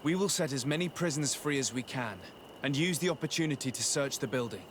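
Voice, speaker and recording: An actor voices a composed nobleman who plans and negotiates in a video game. His speech is formal and measured, at a medium male pitch. Ambient noise runs behind him. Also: lightly acted. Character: composed young noble diplomat and tactician.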